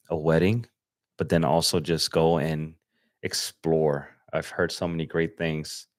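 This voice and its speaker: A young man from Los Angeles chatting casually on a livestream as he edits photos, relaxed and slangy, thinking aloud with fillers. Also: low voice, no room sound, studio quality. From Los Angeles, USA. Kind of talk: casual livestream chat while editing. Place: home desk setup.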